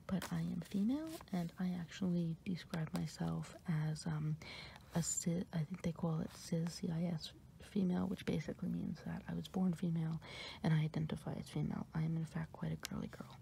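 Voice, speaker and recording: A woman from New York with an American accent whispers slowly through a relaxed ASMR ramble. Her voice is deep for a woman, dark and heavily gravelly, with a faint hiss of noise behind it. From New York, USA. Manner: soft whisper with many fillers and pauses. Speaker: ASMR creator.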